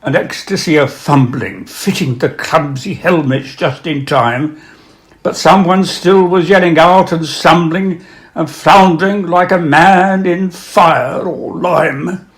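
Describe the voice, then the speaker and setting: A man presenting and reading literature aloud, with a measured, dramatic delivery when reciting. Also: on the high side. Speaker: reader and presenter of poems and prose. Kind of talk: literary recital with spoken introductions.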